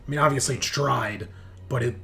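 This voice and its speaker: Male tabletop game master, sharp-edged medium voice, measured dramatic delivery with pauses, music underneath. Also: medium pitch, gravelly. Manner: deliberate short phrases with suspenseful pauses. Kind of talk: dramatic improvised narration with table talk. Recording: music behind the voice. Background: background music.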